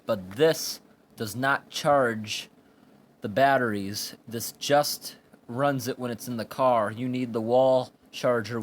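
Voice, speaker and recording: A man giving an informal hands-on product review in a plain, explanatory way with halting phrases, recorded indoors on a handheld camera. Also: medium pitch. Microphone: handheld camera or phone microphone. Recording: amateur recording with background room noise.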